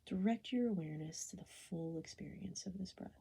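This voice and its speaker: A woman guiding a body scan meditation, speaking softly and gently in an unhurried, soothing way, often slipping toward a whisper. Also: on the low side. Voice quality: soft, breathy, often falling into a whisper. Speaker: meditation guide.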